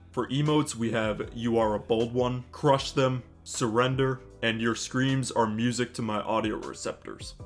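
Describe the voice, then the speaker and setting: A man hosting a gaming channel, reading out details of a video game update with casual, matter-of-fact commentary. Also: medium pitch. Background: music with guitar.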